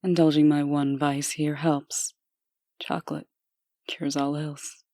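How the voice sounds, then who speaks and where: A woman's voice: deep voice, flat delivery, slow pace, warm tone, dry recording, studio quality. A woman playing a proud, earnest official who speaks formally and firmly, in a comic dub. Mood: composed, resolute. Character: proud, composed woman official, anxious for her sister. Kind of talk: comic dub dramatic acting.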